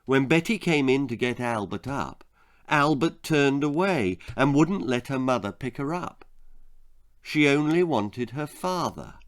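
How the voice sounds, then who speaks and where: A man reading a scripted children's horror-comedy story as its author, in a dramatic, expressive manner. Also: medium pitch, measured pace. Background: faint music.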